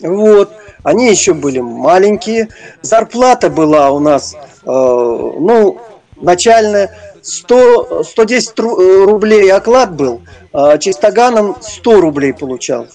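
An older man reminiscing in an interview, speaking haltingly and searching for his words. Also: high voice, very animated, warm tone. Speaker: interview guest, retired prison-service officer and former stage performer.

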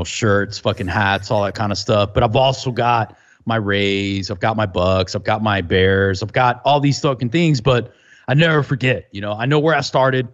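A man's voice: on the low side, lo-fi sound. A Cuban-born man raised in the United States, chatting informally and profanely as a podcast co-host. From Tampa, United States, born in Cuba. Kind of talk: casual chat.

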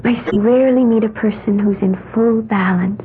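A woman's voice: medium pitch, fairly even intonation, normal speed, narrow, tinny audio, a little hiss or hum, natural voice. An actress playing a dreamy young woman with odd beliefs who babbles in a wide-eyed, sing-song way, in a 1970s radio drama. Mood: earnest, dreamy. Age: young adult. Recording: band-limited old radio broadcast.

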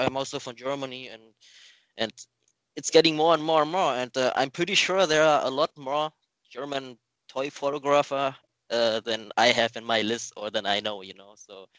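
A man in his twenties who grew up in Thailand talks in a relaxed interview chat, often stumbling, repeating words and reaching for fillers. His voice sits at an ordinary pitch and sounds dry and close, with almost no room around it. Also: no room sound, poor audio. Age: 20s. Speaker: guest.

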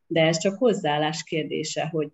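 A woman child psychologist and parent, a podcast guest, giving clear practical advice. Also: sits low, steady tone, slight background noise. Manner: clear, advice-giving, talks from her own experience as a parent. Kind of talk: conversational interview.

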